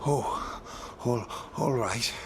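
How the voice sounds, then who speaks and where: Meek, halting, very slow-spoken captive. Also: male voice, mid-range voice, vocal fry, noisy background. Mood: frightened, shaken. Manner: hesitant, faltering. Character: frightened, meek male escaped slave. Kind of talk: video game voice acting.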